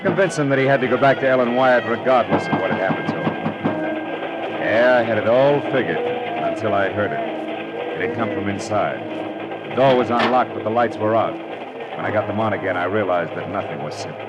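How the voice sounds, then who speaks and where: A hard-boiled detective played by a man in a vintage radio drama. His voice sits low and his delivery is clipped, wry and tired of it all. Music plays strongly behind him on the old recording. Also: brisk, highs cut off, close to an everyday voice. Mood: grim, wry. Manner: terse, sardonic, clipped. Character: hard-boiled male private detective, wry and world-weary. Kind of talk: 1940s radio drama acting and first-person narration.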